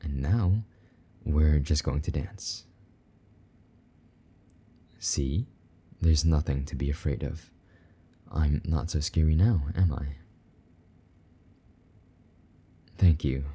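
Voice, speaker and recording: A man playing a possessive but tender, lonely demon lover in an intimate romantic audio roleplay, speaking softly and coaxingly in a husky voice. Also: exaggerated voice. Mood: soft, reassuring. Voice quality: very deep, dark, husky. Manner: soft, slow-burning, gently coaxing endearments.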